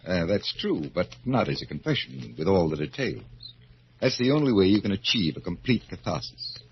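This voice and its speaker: An actor playing a calm, clinical psychiatrist in an old radio thriller, measured and formal. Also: male voice, low voice. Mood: clinical, coaxing. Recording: muffled, noisy old radio recording.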